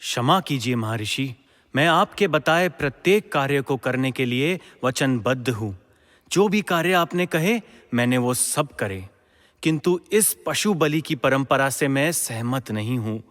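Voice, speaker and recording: A man's voice: mid-range voice, animated, unhurried, fairly bright tone. A man playing a devout, principled ancient king in a mythological audio drama, speaking in a formal, declamatory way. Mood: resolute, respectful. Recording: long echoing hall reverb.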